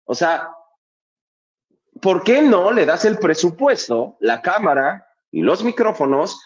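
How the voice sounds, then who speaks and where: A man argues with heat and conviction, stacking rhetorical questions and blunt swearing. His voice sits fairly high for a man. The recording sounds dull and lo-fi. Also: sing-song, slow pace, breathy edge. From Mexico, Coacalco in the State of Mexico. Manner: passionate, emphatic, firing rhetorical questions, swears freely. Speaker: theatre artist in a panel conversation. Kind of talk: casual festival talk.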